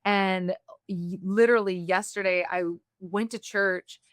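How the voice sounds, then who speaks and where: A woman from the United States hosting an interview, talking in a candid, reflective way with quick bursts. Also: mid-range voice, fairly even intonation, clean tone, dry recording, studio quality. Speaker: podcast host. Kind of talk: conversational interview.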